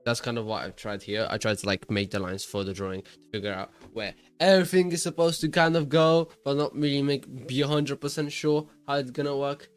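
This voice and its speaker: A man's voice: medium pitch, a little fast, few pauses, clear, resonant tone, soft background music. A young man hosting his own art channel, chatting in a rambling, self-deprecating way over his drawing, his voice worn and congested from a cold. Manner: rambling, self-deprecating, many restarts and fillers. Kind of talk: casual voice-over while drawing.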